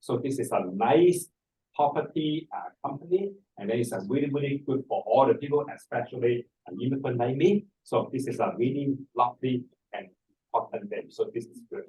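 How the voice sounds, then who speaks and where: A middle-aged or older man with a Hong Kong accent, speaking haltingly and repeating words as he presents an informal seminar. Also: mid-range voice, dark tone. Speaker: feng shui master, seminar speaker. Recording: distant microphone in a room.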